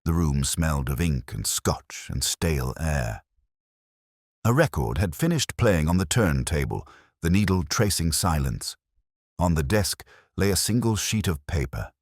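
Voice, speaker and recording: A man with an extremely low voice reads first-person detective fiction as a pensive, world-weary inspector. His delivery is measured and melancholy, rising and falling expressively, in a dry, close recording. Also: sing-song, no room sound. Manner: measured, reflective, melancholy storytelling. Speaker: narrator of a detective audio story. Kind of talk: literary first-person scripted narration.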